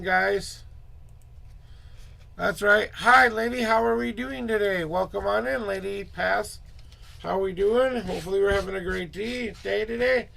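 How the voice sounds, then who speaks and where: A hoarse man hosting a casual tarot livestream, muttering asides to himself and greeting viewers in a loose, chatty way. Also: high voice, sharp tone. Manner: upbeat, drawn-out greetings to viewers. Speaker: tarot reader and livestream host.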